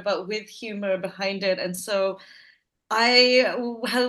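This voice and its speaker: Guest director, woman from Malaysia, animated, halting restarts, adult. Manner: animated, laughing, hesitant restarts, lively pitch jumps. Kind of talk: interview answers.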